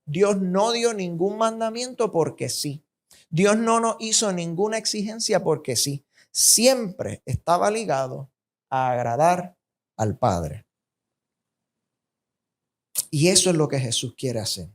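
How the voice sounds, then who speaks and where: A man's voice: sits high, expressive, takes it slowly. A man from Puerto Rico with a Puerto Rican accent, preaching a sermon with emphatic, rhythmic repetition that rises on key points. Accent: Puerto Rican Spanish.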